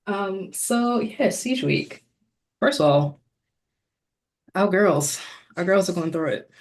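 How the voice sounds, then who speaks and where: A woman's voice: mid-range voice, lively intonation, takes it slowly. A woman co-hosting a podcast in a casual, chatty style. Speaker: podcast co-host. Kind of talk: casual chat.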